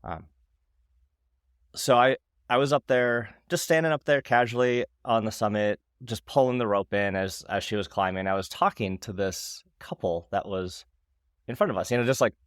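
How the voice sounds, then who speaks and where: Animated man in his forties. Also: on the low side, normal speed, clean tone, dry recording, studio quality. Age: 40s. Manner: animated storyteller, laughs often. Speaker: podcast co-host. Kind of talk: casual chat.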